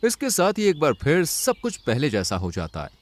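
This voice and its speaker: A man's voice: on the high side, wildly swooping pitch, normal speed. A man narrating a cartoon story in a dramatic scripted style. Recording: rain and outdoor noise behind.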